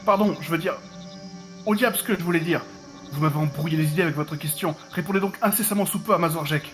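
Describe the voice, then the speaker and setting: A man's voice: fairly high pitch, fast talker, a bit bright, faint music behind, lightly acted. An actor playing a frantic, muddled madman who rambles and talks to himself in an audio drama. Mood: agitated, muddled. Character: frantic, muddled madman with a machete, warning off intruders.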